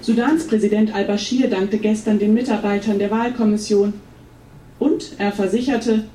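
A woman's voice: medium pitch, fairly even intonation, moderate pace, warm tone, a little hiss or hum. Echoey, distant woman reading a news report. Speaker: news correspondent. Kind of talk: scripted radio news report. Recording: distant, echoey playback with crowd behind.

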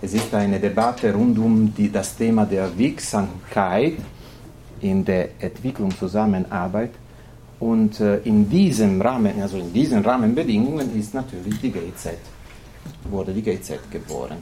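A man from Italy with an Italian accent, presenting an informal lecture in a halting way, searching for words in a language not his native one. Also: on the low side, mellow, slight background noise.

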